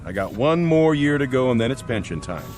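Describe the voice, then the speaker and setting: A man's voice: medium pitch, very animated, a little fast, darkish tone, soft background music, close to an everyday voice. A man playing a blunt, exasperated veteran factory foreman near pension age in a radio drama, plain-spoken and colloquial. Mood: grumbling, defiant. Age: older.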